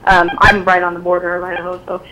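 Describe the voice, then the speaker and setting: Female caller, hoarse from a cold, flat delivery, hesitant with lots of ums. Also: fairly low pitch, quick pace, a crowd in the background, rough recording. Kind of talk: phone-in conversation.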